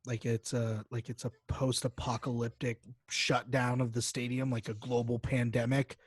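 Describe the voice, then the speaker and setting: A man's voice: medium pitch, flat delivery, normal speed, husky, no echo, studio quality. Casual, joking man co-hosting a podcast. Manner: informal, swearing, joking. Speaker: podcast co-host.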